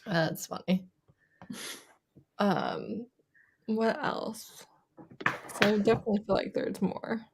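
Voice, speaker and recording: Casting: female book club panelist, medium-pitched voice, informal group chat delivery. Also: takes it slowly, creaky, long pauses, noisy background.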